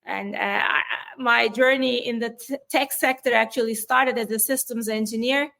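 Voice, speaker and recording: A woman's voice: on the high side, fairly even intonation, normal speed, vocal fry, sharp tone. A woman from Turkey with a Turkish accent, answering interview questions on cybersecurity in an explanatory way, with frequent fillers and restarts. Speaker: cybersecurity executive guest.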